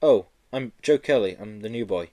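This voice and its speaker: A man's voice: on the low side, expressive, normal speed, mellow, lightly acted. A man voicing a timid young schoolboy who pleads and protests in a children's storybook. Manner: pleading, squealing. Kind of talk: children's audiobook character reading.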